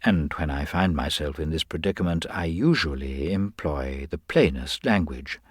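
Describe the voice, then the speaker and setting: A man voicing a mild-mannered, gently reasonable government detective in a story, speaking with hesitant, polite understatement. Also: extremely low pitch, normal speed, breathy edge, dry recording, studio quality. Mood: politely tentative. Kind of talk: dramatised audiobook reading.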